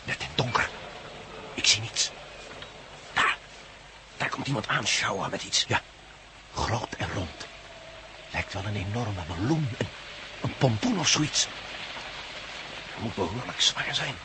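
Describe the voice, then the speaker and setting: A man's voice: lowish voice, expressive, unhurried, pauses often. An actor playing a determined, watchful male landowner in a radio drama, giving terse, short observations. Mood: tense, watchful. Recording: noisy radio play, outdoor ambience.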